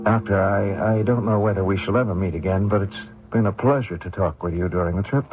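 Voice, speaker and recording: An actor in an old radio drama playing an evasive, uneasy fugitive courier, speaking politely and with guarded hesitation. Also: male voice, fairly low pitch, a little fast, faint music behind, natural voice. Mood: confiding. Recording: tinny, noisy old radio drama recording.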